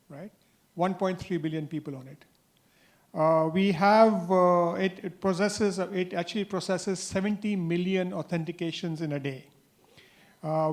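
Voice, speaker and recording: A man's voice: high voice, darkish tone. A middle-aged man on a panel, reeling off figures with frequent "uh" and a tag "right?". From India. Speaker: panelist, industry association chair. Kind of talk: panel discussion.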